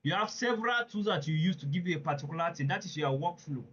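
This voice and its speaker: A man's voice: on the high side, quick pace, a bit bright, slightly rough, lo-fi sound. A man presenting a live webinar, talking earnestly and emphatically, often turning to listeners with direct questions.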